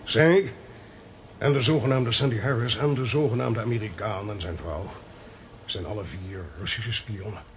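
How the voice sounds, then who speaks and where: An actor with a Netherlands Dutch accent playing an authoritative intelligence agent explaining things emphatically in a radio play. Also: male voice, lowish voice, moderate pace, slight background noise, lightly acted. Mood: grave.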